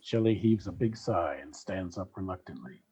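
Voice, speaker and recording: A man's voice: sits low, lively intonation, moderate pace, mellow, muffled recording, a little hiss or hum. A man reading scripted narration as the announcer of a comedy radio play.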